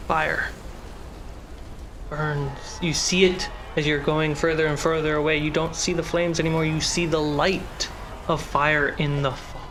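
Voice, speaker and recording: A game master hosting and narrating a tabletop fantasy game in a dramatic, measured way with pauses. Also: medium pitch, little pitch movement, a bit bright, a little hiss or hum. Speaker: game master and host of the show. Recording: music behind the voice. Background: plucked guitar music.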